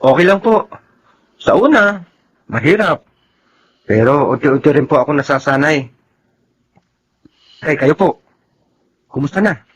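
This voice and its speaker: A man's voice: on the high side, lively intonation, a little slow, long pauses, husky. A man acting in a Filipino radio drama as a respectful young adult son, earnest and caring. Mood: concerned.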